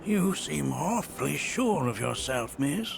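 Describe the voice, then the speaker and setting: A man playing a genial, learned elderly scholar in a video game, speaking in a courtly, grandfatherly way with a creaky aged voice. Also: a little high, sing-song, slow, noisy background, exaggerated voice. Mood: skeptical. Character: genial elderly male planetology scholar, chuckling, eccentric and learned. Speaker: teacher. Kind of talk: video game cutscene acting. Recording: music behind the voice.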